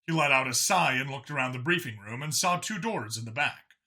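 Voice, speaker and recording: A man's voice: mid-range voice, lively intonation, brisk, sharp tone. A man narrating a scripted science fiction story as an audiobook reader.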